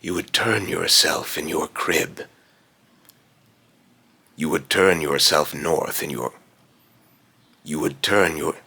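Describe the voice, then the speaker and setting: Man voicing a very deep, raspy, brooding spirit. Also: pushed and bright, exaggerated voice. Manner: slow, deliberate, solemn. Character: Phobos, brooding otherworldly male spirit of fear and death. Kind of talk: voice line recording with retakes.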